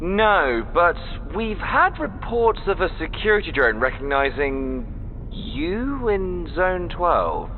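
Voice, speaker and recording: A man's voice: big pitch swings, slow, full character voice. An actor playing a nervous, deferential underling reporting to his emperor through a communicator in an audio drama. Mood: nervous, dutiful. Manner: hesitant, formal, deferential. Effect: communicator filter. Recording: thin phone-like comms link with rumble.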